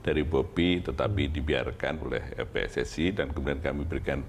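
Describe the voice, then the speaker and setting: Middle aged guy, govt official being interviewed, super deep voice, explaining formally, bit of noise behind. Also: brisk. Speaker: government official, studio guest.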